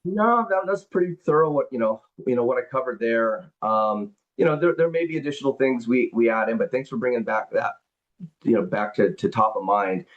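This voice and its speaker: A man's voice: mid-range voice, fairly quick. A man hosting a business call, explaining membership benefits and taking questions, rambling with lots of fillers and repeated words. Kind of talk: business presentation and Q&A on a group call. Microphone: conference call. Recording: dull lo-fi call audio.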